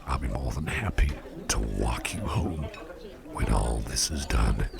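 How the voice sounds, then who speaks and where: A man playing a suave, doting devilish businessman boss in a soft-spoken ASMR roleplay, murmuring with courtly, unhurried gratitude and slipping into gravelly whispers. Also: a bass voice, animated, over loud music, full character voice. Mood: fond, courteous. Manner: courtly, unhurried, repeats phrases appreciatively. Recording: party crowd murmur behind voice. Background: crowd chatter.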